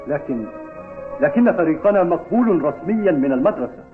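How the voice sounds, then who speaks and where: A man's voice: on the high side, lively intonation, fairly quick, soft background music, close to an everyday voice. A man playing a principled school football captain in an Arabic cartoon dub, speaking earnestly and firmly. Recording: tinny, phone-like old TV dub audio.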